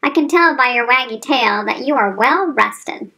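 Woman as a high, chirpy little bird teacher. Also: expressive, normal speed. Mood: cheerful welcoming. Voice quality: chirpy, sweet. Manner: bright, encouraging teacherly delivery. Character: cheerful sweet little yellow female bird teacher. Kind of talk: storybook read-aloud character voice.

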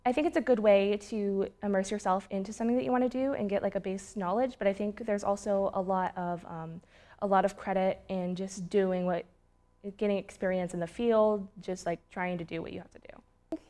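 A young woman student muses through her answers in a studio interview, with frequent fillers. Her voice sits at a middle pitch. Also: fairly even intonation, a little fast, mellow. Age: young adult. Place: studio with plain backdrop.

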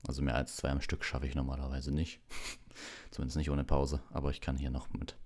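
Very deep-voiced man, casual livestream host. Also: monotone, a little fast, a little mellow. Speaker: audiobook reader, livestream host. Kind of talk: relaxed bedtime story reading and casual livestream chat.

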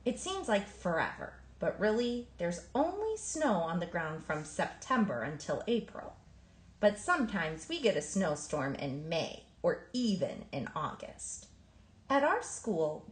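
A woman reads a children's picture book aloud with a very lively, swooping delivery. Her pitch sits in a middle range and she stresses words like a storyteller. The recording sounds roomy, with noticeable echo. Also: a little slow. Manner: animated, emphatic storytelling. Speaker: storybook reader.

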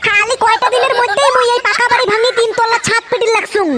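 Very high pitched-up voice, bragging lazy cartoon son, North Bengal accent, pleading, coarse-mouthed, loud noisy recording. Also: fairly even intonation, fast. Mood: boastful, pleading. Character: boastful lazy son pestering parents for money. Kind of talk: comedy cartoon dialect dialogue. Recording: loud background noise with clicks.

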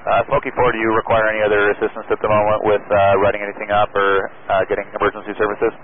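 Guy pilot talking quick and pretty flat on a tinny noisy plane radio. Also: fairly low pitch. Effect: aircraft radio. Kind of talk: aviation radio communication. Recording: noisy, narrow-band aircraft radio transmission.

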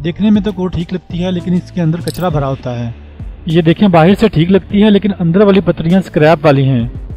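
High-pitched man narrating a how-to. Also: warm tone. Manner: plain step-by-step explaining. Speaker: repair tutorial host. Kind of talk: scripted instructional voice-over. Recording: music behind the voice. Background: background music.

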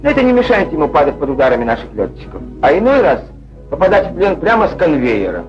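A man's voice: a little high, huge pitch swings, fast, lo-fi sound. A man narrating a scripted 1940s Soviet newsreel in a declamatory, wry manner, on an old film soundtrack. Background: music.

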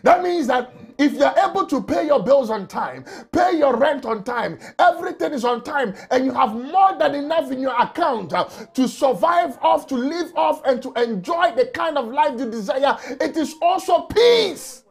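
A man preaching an emotional sermon from a small office on a lapel mic, often shouting and crying out. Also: very high voice, lively intonation, normal speed, vocal fry, few pauses, faint music behind. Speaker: pastor.

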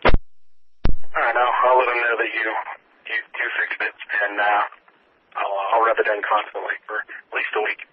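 A man's voice: fairly low pitch, level tone, unhurried, pauses often. A man working as a railroad dispatcher, talking informally and procedurally over two-way radio. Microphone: radio handset or dispatch console over radio. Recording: tinny, noisy two-way radio transmission.

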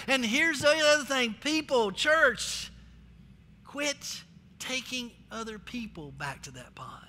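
A man's voice: extremely high pitch, pushed and bright. A middle-aged American man preaching a sermon as a pastor, emphatic and exhorting, repeating phrases to drive them home. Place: large church stage, indoors, amplified. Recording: echoey hall reverb, faint music.

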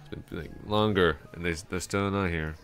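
A man playing a drunk, rambling, awkwardly friendly office coworker in an intimate ASMR roleplay, halting and repeating himself as he slurs along. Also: sits low, steady tone, fairly bright tone, soft background music. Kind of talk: intimate ASMR roleplay, one-sided conversation.

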